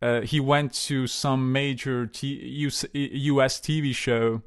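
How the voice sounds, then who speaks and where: A man in casual conversation, telling stories in a hesitant, relaxed way, with many fillers and restarts. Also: mid-range voice, slow, smooth. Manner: storytelling, relaxed.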